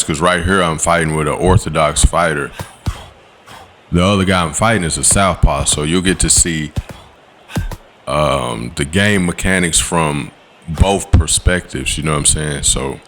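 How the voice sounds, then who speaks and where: A man's voice: a bit bright, a little hiss or hum. A man, giving laid-back voice-over commentary on his gameplay in a slangy, coaching way. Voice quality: low voice. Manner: laid-back, coaching, slangy with quick "boom" call-outs. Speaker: gaming channel host. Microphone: voice-over recorded separately from the footage.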